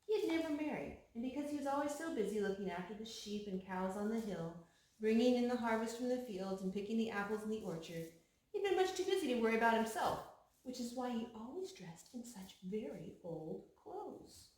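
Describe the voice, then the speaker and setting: A woman reading a picture book aloud to young children, pausing to explain things to them. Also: fairly high pitch, lively intonation, a little mellow. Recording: very echoey room with some noise.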